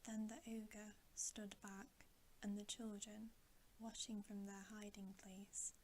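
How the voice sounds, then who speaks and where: A woman whispering a bedtime story in a hushed, gentle, deliberate ASMR reading. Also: mid-range voice, flat delivery, a little hiss or hum. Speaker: ASMR reader.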